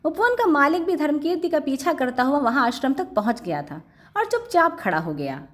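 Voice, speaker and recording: High-pitched, animated woman storyteller. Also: moderate pace. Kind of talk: scripted moral story narration.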